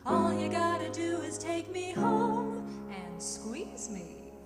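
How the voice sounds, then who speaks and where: A woman's voice: very high-pitched, lively intonation, takes it slowly, over loud music, exaggerated voice. A woman playing a perky, coaxing talking shampoo tube who sings a vintage radio jingle.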